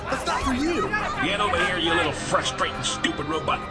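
A man's voice: sits high, very animated, brisk. A man playing an irritated, bossy live-action roleplayer with a scolding manner. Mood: exasperated, bossy. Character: irritated, bossy male LARPer snatching a robot. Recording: loud music and crowd behind.

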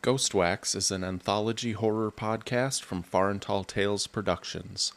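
A man's voice: lowish voice, steady tone, moderate pace. A man announcing a podcast promo in a scripted ad read.

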